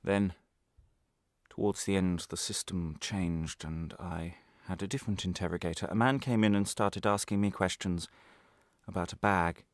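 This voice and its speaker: Man acting a weary, bitter prisoner, low and gravelly. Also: fairly even intonation, moderate pace, dry recording, clean studio sound. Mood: haunted, intense. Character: weary, bitter male prisoner held without trial. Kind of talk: dramatic radio monologue.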